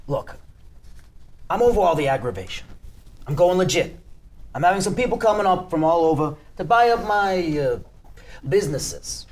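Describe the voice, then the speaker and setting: A man's voice: sits high, big pitch swings, moderate pace, long pauses, a little mellow. A man playing a bossy, blunt gangster boss in a table reading of a TV script. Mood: self-assured.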